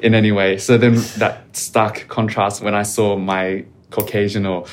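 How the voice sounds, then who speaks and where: A man speaking as a podcast guest in a calm, reflective, measured way. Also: on the low side. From Australia. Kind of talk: casual interview conversation.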